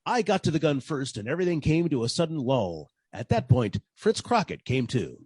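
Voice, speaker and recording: A wisecracking private detective acted by a man in the manner of 1940s radio drama. He tells his case wryly, with very animated intonation. The sound is dry and close. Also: medium pitch, moderate pace, husky, no room sound. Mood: wry narration. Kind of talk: old-time radio detective narration and dialogue.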